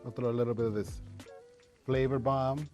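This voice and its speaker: A man's voice: medium pitch, steady tone, moderate pace, darkish tone, soft background music. A man in his early forties, a chef, talking casually and explaining his cooking as a contestant. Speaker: restaurant owner and chef, contestant. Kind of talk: casual talk and cooking demonstration.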